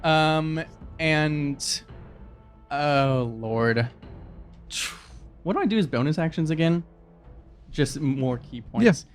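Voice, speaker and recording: A man's voice: on the high side, lively intonation, takes it slowly, long pauses, faint music behind. Joking man playing a tabletop game. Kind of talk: casual game banter.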